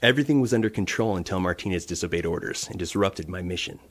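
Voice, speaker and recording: An actor playing a stern, by-the-book military captain in an audio drama, speaking in a curt, commanding way. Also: male voice, low voice, fast talker, natural voice. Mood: stern, defensive. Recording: clearly noisy, vehicle ambience behind.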